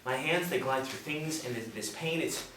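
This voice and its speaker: A man's voice: mid-range voice, moderate pace, bright, forceful tone, close to an everyday voice. A man playing a desperate, confused man in agony, stammering and strained, in a drama reading. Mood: pained, confused. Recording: echoey room, distant mic, background noise.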